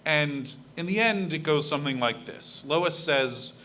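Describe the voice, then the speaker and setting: Bright-voiced young man giving a church talk. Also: a little high, animated, unhurried, slight background noise. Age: young adult. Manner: earnest, conversational, with fillers and a self-deprecating laugh. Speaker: lay speaker at a church service. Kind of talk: personal reflection talk from a pulpit. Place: church sanctuary. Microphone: pulpit microphone.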